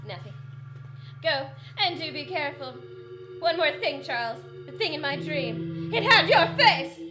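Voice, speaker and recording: A woman's voice: sits high, lively intonation, unhurried. A woman playing an overwrought, sardonic wife in a parody of 1940s radio drama. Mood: apologetic, sardonic. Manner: overwrought, theatrical. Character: hysterical melodramatic 1940s wife, Patricia, with a sardonic streak. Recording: roomy echo under loud music.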